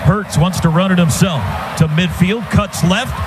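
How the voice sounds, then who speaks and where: An American man calling football play-by-play live on radio in clipped running phrases that build to shouts on big plays. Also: high-pitched, unhurried. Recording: noisy stadium radio broadcast.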